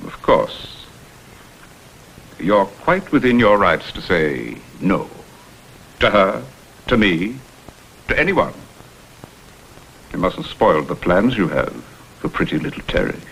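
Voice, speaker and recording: Oily, low-voiced vintage TV blackmailer. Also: male voice, big pitch swings, takes it slowly, gravelly, pauses often, fairly bright tone, full character voice, low-quality recording. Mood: veiled menace. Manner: florid, theatrical, unctuous phrasing. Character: smooth, insinuating blackmailer posing as a humble go-between. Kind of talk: vintage American TV crime drama acting. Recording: vintage TV soundtrack, faint noise.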